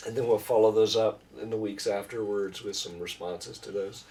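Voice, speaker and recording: Casual pastor host: middle-aged man, lowish voice, rambling and informal, recorded off-mic with slight noise. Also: steady tone, moderate pace, a little mellow. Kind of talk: casual conversational chat about faith. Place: small room with foam panels. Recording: distant mic, room noise.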